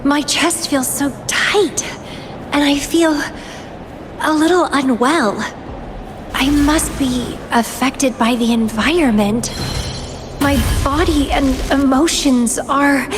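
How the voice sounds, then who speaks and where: A woman's voice: high-pitched, slow, background noise. A woman voicing an enigmatic young female fighter in a video game, obsessive and melancholy, with brooding musing and a teasing, playfully bloodthirsty edge. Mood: uneasy. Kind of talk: scripted video game voice acting. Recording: music behind the voice. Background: game music.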